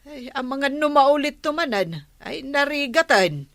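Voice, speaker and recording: A woman's voice: high-pitched, animated, measured pace, fairly bright tone, dry recording, clean studio sound, exaggerated voice. A woman playing a complaining, gossipy villager in a comedy radio drama, with an Ilocano accent.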